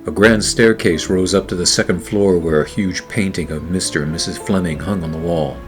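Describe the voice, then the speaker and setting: A man performs a hardboiled gumshoe from a noir radio play. His low voice is wry and deadpan as he narrates, with music playing clearly underneath. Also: a little fast, lightly acted. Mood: uneasy, wry. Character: hardboiled, wisecracking 1940s male private detective narrator.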